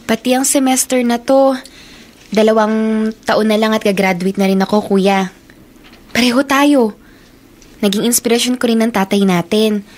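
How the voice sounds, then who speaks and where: A woman's voice: on the high side, steady tone, normal speed, long pauses, darkish tone, lightly acted. A woman playing a dutiful young college student and devoted little sister in Filipino radio drama, earnest and assertive. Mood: earnest, resentful. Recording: radio drama with background noise.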